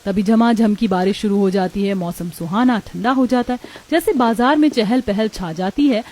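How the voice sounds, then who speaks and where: A woman serves as narrator of a cartoon tale. Her voice is mid-pitched and on the mellow side, delivering scripted storytelling. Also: moderate pace.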